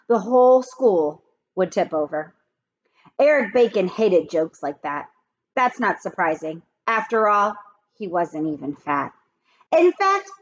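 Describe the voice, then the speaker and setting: A woman teacher reading a chapter aloud to children, expressive and talking to the kids directly between passages. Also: mid-range voice, dull audio. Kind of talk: children's read-aloud with asides.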